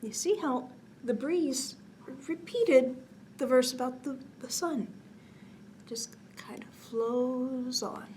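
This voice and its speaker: Slow, hushed woman reading bedtime stories. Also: high-pitched, slight background noise. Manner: gentle, expressive, often dropping to a hushed near-whisper. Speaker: storytime host reading a picture book. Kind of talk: children's bedtime story read-aloud with casual asides.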